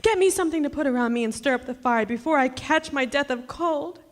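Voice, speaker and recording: A woman's voice: high-pitched, fairly quick, lightly acted. An actress playing a bossy, sly witch wife in a radio drama, speaking in an imperious, theatrical way. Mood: indignant, scolding. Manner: imperious, scolding, coy. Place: stage performance in a community club hall. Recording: echoey hall from a stage.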